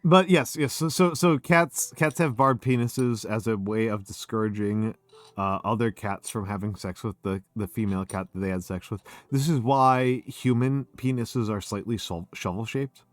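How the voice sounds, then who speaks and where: A man's voice: lowish voice, normal speed, no echo. A drunk man streaming a casual chat, rambling with stumbling restarts.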